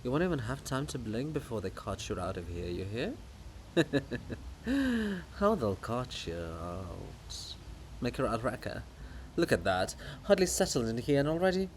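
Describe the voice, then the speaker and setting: A man voicing a mocking, excitable chorus of gossiping living monuments in a theatrical reading. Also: mid-range voice, measured pace, slight background noise, pitched below the performer's own voice. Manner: jeering, excitable, taunting.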